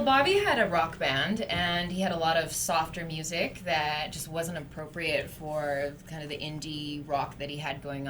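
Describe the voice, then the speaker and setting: Woman musician chatting, kinda low voice, little bit of hiss behind. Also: fairly bright tone. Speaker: musician guest. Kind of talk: casual interview chat.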